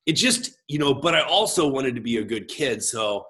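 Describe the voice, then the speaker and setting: A man in his forties with a big voice and an average male pitch talks reflectively about his own life. He is gregarious and expressive, often interrupting himself as he works toward his point. It sounds like a pastor being interviewed in relaxed conversation. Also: moderate pace. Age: 40s. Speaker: guest, pastor. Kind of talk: reflective personal storytelling in conversation.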